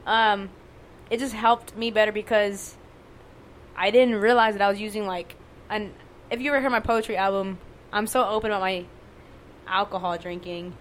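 Woman, young adult, monotone voice, casual candid talk, swears a lot, faint noise. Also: mid-range voice, normal speed, pauses often. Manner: candid, frequent swearing and fillers. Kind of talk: casual conversation.

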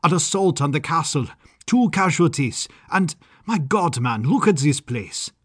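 A man's voice: fairly high pitch, expressive, measured pace, no echo, studio quality, voice pushed up. A man voicing a tense, indignant military lieutenant in an audiobook, with a clipped, urgent delivery. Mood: angry, urgent. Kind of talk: audiobook character acting.

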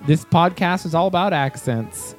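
A man with a notably high voice hosting a podcast, trading crude, deadpan jokes in casual banter. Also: moderate pace, soft background music. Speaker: podcast host.

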